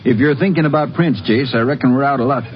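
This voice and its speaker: Man doing steady small town texas sheriff, country phrasing, 1950s radio drama, dull lo-fi sound. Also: medium pitch, fast, lightly acted. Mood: steady, concerned. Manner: plain-spoken, drawling country phrasing. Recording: muffled vintage radio recording.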